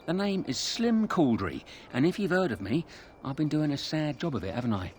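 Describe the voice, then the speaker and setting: An actor playing a sly, chummy street thief in a video game, cheeky and ingratiating. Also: male voice, on the high side, big pitch swings, brisk, a little mellow, a little hiss or hum. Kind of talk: video game dialogue acting.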